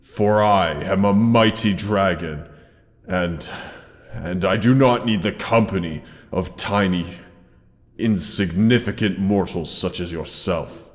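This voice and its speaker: A man playing a huge male dragon in a roleplay audio drama, grandiose yet tender and protective, with gravelly, theatrical, old-fashioned formal speech. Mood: commanding, feigned scorn. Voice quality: very deep, gravelly creaking voice. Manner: slow, theatrical, archaic formal phrasing with pauses. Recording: long cavernous reverb.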